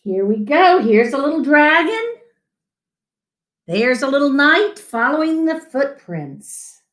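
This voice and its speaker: A middle-aged woman reading a picture book to children expressively, with dramatic, deliberate pauses. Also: sits high, very animated, a bit bright. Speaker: children's storyteller. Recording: roomy home echo.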